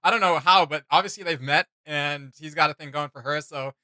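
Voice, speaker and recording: A man's voice: fairly high pitch, a little fast, bright, forceful tone, no room sound. A man co-hosting a reaction video, chatting casually in a rambling, enthusiastic way about what he likes. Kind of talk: casual opinion chat with co-host.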